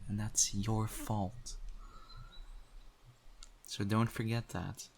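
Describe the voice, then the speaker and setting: A man playing a stern, principled and exasperated survivor in a zombie apocalypse audio roleplay, speaking earnestly and scoldingly with deliberate, pointed pauses. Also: lowish voice, flat delivery, creaky, a little hiss or hum, exaggerated voice. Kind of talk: audio roleplay acting addressed to the listener.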